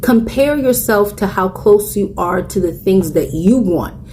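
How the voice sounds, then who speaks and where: A woman's voice: mid-range voice, expressive, a little slow, mellow. A woman in her forties speaks to camera in a candid, earnest way, telling her own story. She is a content creator with a mid-range voice, and a faint noise sits under the recording. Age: 40s. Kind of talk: personal reflective talk to camera.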